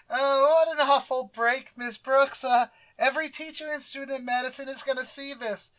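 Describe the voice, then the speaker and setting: An awkward teenage boy in a vintage radio comedy. The voice is very high, hesitant and full of fretting. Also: fairly even intonation, normal speed, fairly bright tone. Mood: fretful. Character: fretful, awkward teenage schoolboy Walter. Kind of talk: 1940s radio sitcom acting.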